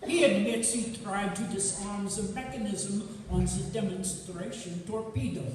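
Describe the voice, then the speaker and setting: A woman's voice: medium pitch, fairly even intonation, measured pace, a little mellow, a little hiss or hum, natural voice. Woman playing an indignant professor, echoing from far off. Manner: insistent, interrupting. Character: indignant foreign inventor professor, male, interrupting in court. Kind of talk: stage radio drama acting. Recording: echoey hall, far from microphone.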